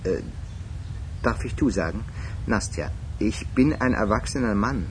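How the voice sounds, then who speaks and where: An actor playing a scheming, insistent suitor who talks his way smoothly along in a radio crime drama. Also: on the low side, expressive, measured pace, slight background noise. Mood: courting, insistent. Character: smooth-talking, scheming adult male suitor hiding urgency.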